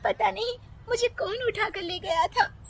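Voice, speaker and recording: A woman's voice: very high voice, measured pace, creaky, dry recording, pitched above the performer's own voice, heavily acted. A woman voicing an earnest young village woman in a cartoon, pleading and reproachful. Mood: indignant pleading.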